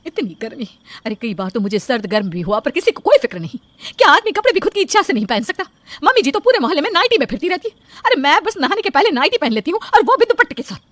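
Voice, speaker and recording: A woman's voice: sits high, sing-song, fast talker, hardly any pauses, a bit bright, no echo, pitched above the performer's own voice. A woman voicing an exasperated young married woman, ranting and aggrieved in a cartoon story. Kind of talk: animated cartoon story acting.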